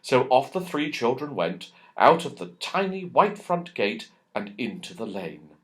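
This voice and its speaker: A man's voice: mid-range voice, sing-song, a little slow. A man narrating a children's storybook expressively, drawing out key words for emphasis. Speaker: audiobook narrator.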